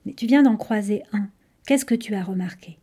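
A woman's voice: medium pitch, moderate pace, warm tone, breathy edge, natural voice. A woman voicing a tired, impatient female creature who trains a novice, grumbling, bossy and curt, in a storybook. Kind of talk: audiobook storytelling.

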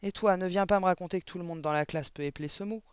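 Un homme qui lit un livre pour enfants en incarnant une directrice colossale, tyrannique et méprisante, au ton aboyé et sarcastique. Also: male voice, a little high, fairly quick, darkish tone, no room sound, studio quality, lightly acted. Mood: scornful. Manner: barking, thundering, sarcastic. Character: huge tyrannical headmistress, scornful, bullying, barking. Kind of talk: storybook reading.

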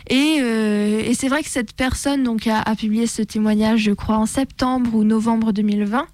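Woman chatting on radio, bit high, dry studio sound, halting, doubles back on words. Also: darkish tone, no room sound. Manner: halting, repeats words as she explains. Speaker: radio show co-host. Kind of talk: unscripted radio discussion.

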